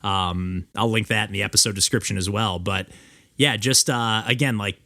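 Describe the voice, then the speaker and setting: A man's voice: lowish voice, fairly even intonation, moderate pace, sharp tone, no echo. Rambling male podcast host on a dry call. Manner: rambling, many filler "like"s and restarts. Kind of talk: casual interview chat. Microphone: remote call.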